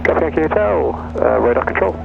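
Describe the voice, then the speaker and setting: A man working as an air traffic controller, giving procedural clearances and instructions over aviation radio. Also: mid-range voice, animated, fairly quick, music in the background. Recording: narrow crackly aircraft radio, loud engine noise.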